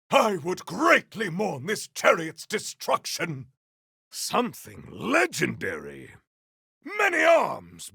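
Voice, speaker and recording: Game role: bombastic, honorable cyborg warrior, slow declamatory delivery, often shouted, raspy edge. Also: male voice, very high-pitched, no echo, studio quality, full character voice. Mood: grave, measured. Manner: theatrical, declamatory, grandiose. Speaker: video game voice actor. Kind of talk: video game combat barks.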